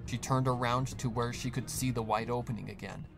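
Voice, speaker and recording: Man narrating a dark story over music. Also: medium pitch, fairly even intonation, fairly quick. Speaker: fanfic reader, narrator.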